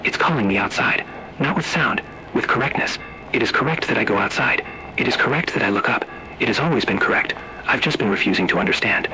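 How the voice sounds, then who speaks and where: A man's voice: medium pitch, lively intonation, fast, sharp tone, low-quality recording. A man acting an entranced, doomed male teacher. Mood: entranced certainty. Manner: rhythmic, insistent, awestruck short sentences. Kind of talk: analog horror storytelling.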